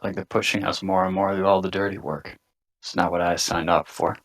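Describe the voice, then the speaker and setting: A man playing a jaded, grumbling scientist in a tabletop roleplaying game, with gruff, profane, world-weary talk. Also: deep voice, little pitch movement, a little fast, fairly bright tone. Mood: disgruntled. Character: jaded, grumbling male union scientist with medical training. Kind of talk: tabletop roleplaying, improvised dialogue.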